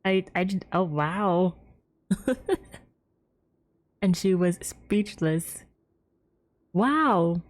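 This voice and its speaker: A woman's voice: on the low side, animated, extremely slow, long pauses. A woman streaming a game, reacting casually with giggles and gasps and reading text aloud. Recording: game music behind.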